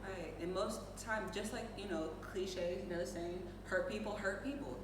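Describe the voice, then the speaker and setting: A woman's voice: mid-range voice, fairly even intonation, moderate pace. A woman co-hosting a show in casual conversation, readily agreeing and backing up the points being made. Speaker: show co-host. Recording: echoey hall, distant mic, clear noise.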